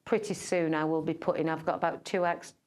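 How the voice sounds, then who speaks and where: A middle-aged woman entertainer talks casually about her work, rambling with fillers and restarts. Her voice sits very low with little pitch movement. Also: fairly even intonation, a little fast, darkish tone. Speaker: entertainer and singer. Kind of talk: casual interview chat.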